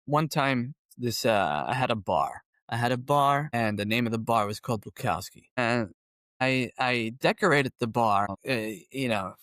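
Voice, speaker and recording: A man tells stories with a lively, swooping pitch. His delivery rambles casually, full of "you know" asides. The sound is dry and close, with no room echo. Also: moderate pace. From Philadelphia, USA. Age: 50s. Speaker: podcast guest. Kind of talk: casual conversational storytelling.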